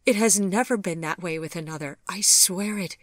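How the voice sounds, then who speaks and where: A woman's voice: fairly low pitch, moderate pace, dry recording, clean studio sound, voice pushed up, lightly acted. A woman voicing a remorseful, tormented male monster lover in an audiobook, pleading and intense. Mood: impassioned.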